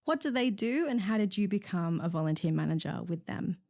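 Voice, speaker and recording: A woman's voice: medium pitch, big pitch swings, brisk, darkish tone, no echo, clean studio sound. A woman hosting a podcast, reading a scripted introduction and asking interview questions in a friendly, encouraging way. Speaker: podcast host.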